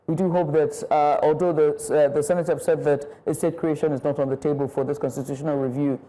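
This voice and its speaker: A woman, a news presenter formally thanking guests and wrapping up a studio debate, measured with some fillers. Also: low-pitched, fairly even intonation, warm tone, rough recording. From Nigeria. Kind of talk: closing a panel debate.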